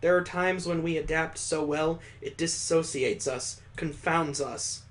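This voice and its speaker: Male streamer, slightly high voice, reading story narration and adding casual commentary. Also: a little slow. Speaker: streamer reading a visual novel aloud.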